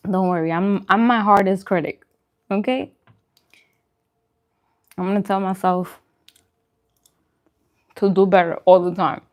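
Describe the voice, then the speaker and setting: A woman's voice: mid-range voice, fairly even intonation, measured pace, pauses often. A woman chatting casually on a livestream as host while she eats, informal and self-deprecating in her manner. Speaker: vlogger hosting a live mukbang stream.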